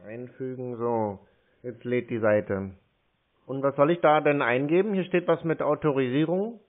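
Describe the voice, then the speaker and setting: A man in a middle pitch comes through a narrow, phone-like line. He stalls slowly and hesitantly, filling gaps with hums and fillers while he pretends to follow instructions. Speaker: scam-baiter posing as a call target. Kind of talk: drawn-out phone call.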